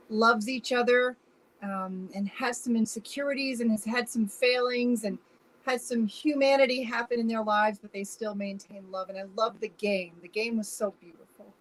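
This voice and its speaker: A woman's voice: mid-range voice, fairly even intonation, moderate pace, poor audio. A woman giving effusive, emphatic answers as a guest director in an informal interview. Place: remote video call.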